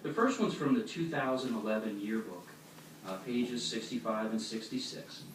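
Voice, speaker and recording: A man's voice: fairly low pitch, animated, normal speed. A man giving an instructional religious talk to a congregation in a plain-spoken, explanatory way, reading out anecdotes as he goes. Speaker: congregation speaker giving a demonstration. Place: echoey meeting hall before an audience. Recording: echoey hall, distant mic, faint noise.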